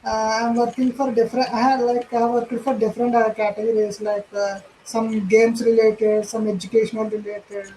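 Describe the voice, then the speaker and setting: Hesitant Indian man, soft fillers. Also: high voice, flat delivery, normal speed, few pauses, a little hiss or hum, rough recording. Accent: Indian English. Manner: hesitant, repeats short agreements. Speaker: student, software developer. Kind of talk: casual video-call lesson. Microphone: video call.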